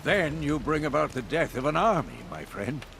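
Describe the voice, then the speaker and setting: A man playing a cunning, scheming fugitive in a fantasy video game, talking in a deliberate, dramatic, conspiratorial way. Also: a little high, big pitch swings, creaky, fairly bright tone, slight background noise, full character voice. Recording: faint outdoor game ambience behind.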